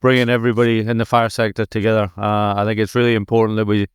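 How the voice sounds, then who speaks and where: A man's voice: on the low side, little pitch movement, fast talker, smooth, dry recording. Scottish guy telling a history story on a podcast, lots of ums, dry close sound. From Scotland. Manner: explanatory, hesitant with fillers. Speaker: podcast guest. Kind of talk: conversational storytelling. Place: conference exhibition booth.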